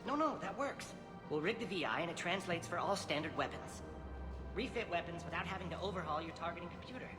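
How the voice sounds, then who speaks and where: Fussy greedy alien business partner in a game, talks quick, echoey w music. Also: male voice, high voice, lively intonation. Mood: eager, calculating. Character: profit-minded, fussy male alien businessman.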